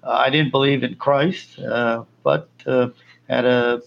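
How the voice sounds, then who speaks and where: Gravelly man with halting, filler-heavy talk. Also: medium pitch, fairly even intonation, highs cut off. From Colombia. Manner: halting, many uh fillers and restarts. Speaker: missionary guest. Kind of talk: casual interview answers.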